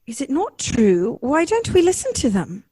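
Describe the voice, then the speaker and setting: A woman's voice: high-pitched, huge pitch swings, normal speed, mellow, no echo. A woman playing a tender-hearted, distressed lady in a 1930s-style radio drama, earnest and pleading.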